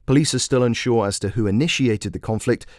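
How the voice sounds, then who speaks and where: A man's voice: medium pitch, fairly quick, darkish tone, no room sound, studio quality, natural voice. A man playing a formal male radio newsreader delivering a bulletin. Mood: formal, grave. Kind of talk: news bulletin.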